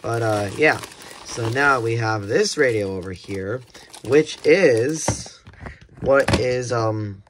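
Halting young American man, noisy recording. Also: mid-range voice. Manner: halting, repeats words and restarts often. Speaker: video creator unboxing weather radios. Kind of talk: casual hands-on unboxing chat. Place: indoors at a desk. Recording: clear background hiss and handling clicks.

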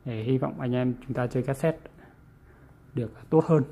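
A man with a northern Vietnamese accent giving a casual hands-on tutorial, halting and repeating words as he goes. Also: mid-range voice, warm tone. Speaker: vlogger presenting a how-to. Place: small indoor room with audio gear.

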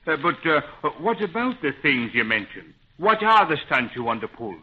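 An actor playing a good-natured, protective pilot in a 1930s radio serial, speaking in a folksy, hesitant manner. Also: male voice, on the high side, big pitch swings, normal speed. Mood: cautious, concerned. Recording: muffled, dull 1930s radio recording.

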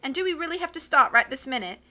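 A woman voicing a gentle young stepdaughter who speaks softly in a storybook reading. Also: sits high, animated, quick pace, slight background noise, full character voice. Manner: soft, gentle.

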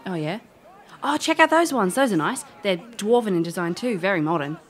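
A woman's voice: medium pitch, expressive, fairly quick, natural voice. A woman playing a breezy, brash elf adventurer who narrates her own tale in an audio drama. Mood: breezy, curious. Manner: informal, brash. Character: cheeky, breezy female elf adventurer recalling her youth.

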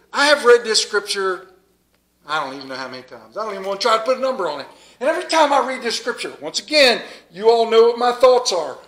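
Animated high-voiced pastor preaching. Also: male voice. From United States. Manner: animated, moves about the pulpit, dramatic pauses. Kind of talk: sermon with scripture reading. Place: small church sanctuary. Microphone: stand mic at pulpit, far camera.

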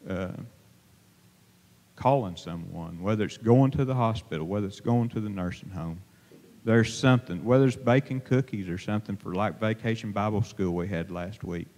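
A middle-aged man preaching an informal sermon from a pulpit, plain-spoken and halting, with many fillers and restarts. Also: fairly low pitch, darkish tone. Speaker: lay preacher. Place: church sanctuary, at a pulpit. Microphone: handheld microphone held to mouth. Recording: long reverb of a church hall.

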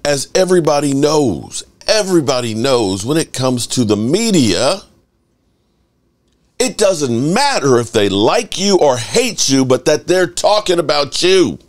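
Slow, lively, hoarse sports host. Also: male voice, a little high. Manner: animated, profane, drawn-out delivery. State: slightly hoarse. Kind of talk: fired-up fan commentary. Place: home studio room full of memorabilia. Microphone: desk microphone.

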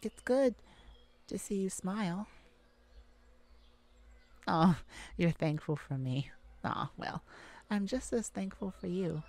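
A woman playing a caring, affectionate mage gardener in a gentle ASMR roleplay audio drama. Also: medium pitch, expressive, takes it slowly, long pauses. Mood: tender, reassuring. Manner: affectionate, gentle, full of endearments. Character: tender, caring mage gardener devoted to their werewolf mate.

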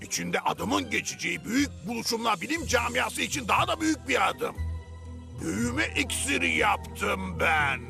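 A man's voice: very high-pitched, very animated, normal speed, full character voice. An actor in a cartoon dub playing an eccentric, boastful old inventor grandfather, theatrical and full of grand exclamations. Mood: triumphant, boastful. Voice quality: creaky, gravelly. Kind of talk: animated cartoon dub acting. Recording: faint music behind.

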